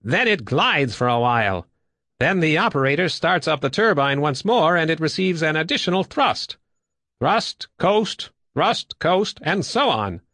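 Guy narrator doing a curious excitable pilot in an audiobook, blunt, punchy, voice pushed up and jumping around. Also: a little high, measured pace, no room sound, clean studio sound, pitched above the performer's own voice, heavily acted. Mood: puzzled, skeptical. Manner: exclamatory, emphatic. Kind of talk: audiobook character dialogue.

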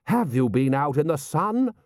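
A haughty aristocratic army commander from a storybook, put on by a male reader, full of indignant bluster. The voice is pushed up high and swoops with each phrase. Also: big pitch swings, takes it slowly, no echo, clean studio sound. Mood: outraged.

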